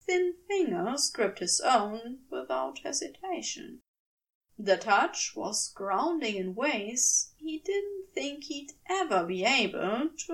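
A woman reading a scripted story as narrator, in an expressive and deliberate storytelling manner. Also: on the high side, recorded from a distance, poor audio. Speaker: podfic narrator.

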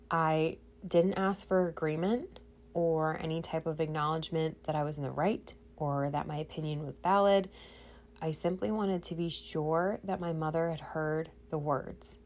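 A woman hosting a podcast, congested from a cold, talking earnestly and directly to her listeners in a personal monologue. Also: sits low. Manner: earnest, speaking straight to listeners. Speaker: podcast host.

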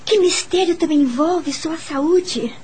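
An actress playing a kind, curious young female teacher in a Brazilian radio drama, expressive and musing, with a Brazilian accent. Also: extremely high pitch, slow pace. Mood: puzzled, pitying. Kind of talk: radio drama acting and first-person narration. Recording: music bed behind the voice. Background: music.

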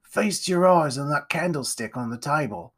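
A man voicing a greedy, scheming poor mother who speaks in a low, conspiratorial way in a storybook reading. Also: lively intonation, normal speed, slightly rough. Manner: low, conspiratorial, common speech.

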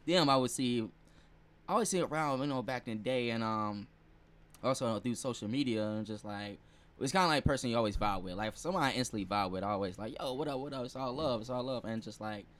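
An American man hosting a podcast in a casual, slangy, chatty way. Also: lowish voice. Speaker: podcast host. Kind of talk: casual chat.

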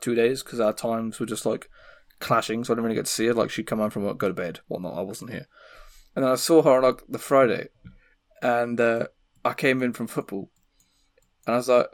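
A young man chatting casually as a podcast co-host, telling stories in a sweary, animated way. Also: medium pitch, no room sound. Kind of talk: casual chat.

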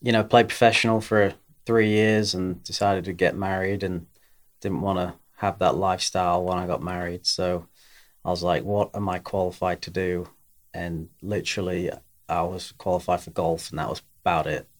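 Halting English man with a low voice. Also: fairly even intonation. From England. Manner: halting, many restarts and fillers. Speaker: guest, golf coach. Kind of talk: casual interview.

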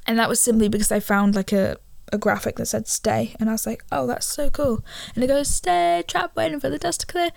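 Teen girl rambling on her podcast, bit gravelly from a cold, higher voice. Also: steady tone, fairly quick, hardly any pauses, no room sound. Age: teens. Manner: rambling, self-correcting, chatty. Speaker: podcast host. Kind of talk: casual solo podcast chat. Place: living room at home. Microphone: handheld studio mic.

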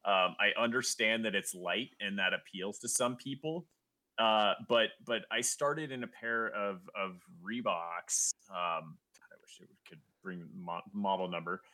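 A low-pitched man with a very bright, forceful edge to his voice. He talks casually as a podcast guest, animated and tripping over his words as he restarts sentences. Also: unhurried. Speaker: guest goalie.

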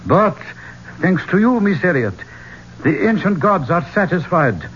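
Ominous, high-voiced male mystic. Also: big pitch swings, a little slow, a bit bright, heavily acted. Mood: solemn, ominous. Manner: portentous, formal, theatrical. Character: solemn, ominous mystical man pronouncing an ancient curse. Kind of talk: 1970s radio drama acting. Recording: muffled, noisy old radio recording.

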